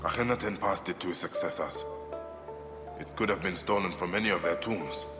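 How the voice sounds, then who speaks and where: Male actor for a grave game hero; deep, clipped, weary delivery over a score. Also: a little fast, loud music behind the voice, natural voice. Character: grave adult male warrior, stern and weary. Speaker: protagonist. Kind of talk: video game voice acting.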